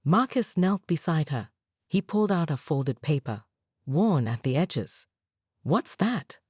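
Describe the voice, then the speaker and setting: A woman narrates a scripted story in a measured, dramatic way. Her voice sits low, yet her intonation swings widely and expressively. The recording is dry and close, with no room sound. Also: big pitch swings, darkish tone, slightly rough, no echo.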